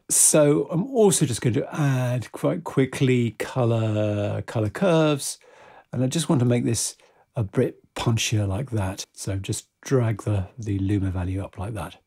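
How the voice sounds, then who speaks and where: A man presenting a software tutorial step by step in an informal, measured, instructional way. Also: mid-range voice, slightly rough. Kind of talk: software tutorial, step-by-step screen-recorded walkthrough.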